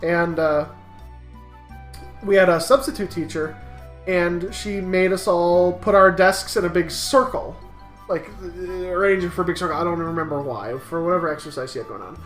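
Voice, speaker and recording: A man's voice: high voice, normal speed. Goofy man bantering, roomy echo. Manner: goofy, animated storyteller, laughs through speech and bursts into shouts. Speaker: co-host of a visual novel let's play. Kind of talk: casual comedic banter while reading a game aloud. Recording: roomy echo with music behind.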